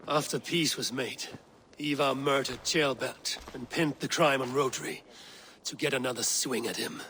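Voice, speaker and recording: An actor playing a blunt, loyal Viking raider in a video game, grave and restrained. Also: male voice, mid-range voice, steady tone, moderate pace, sharp tone, slight background noise, lightly acted. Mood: grave candour. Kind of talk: dramatic video game acting.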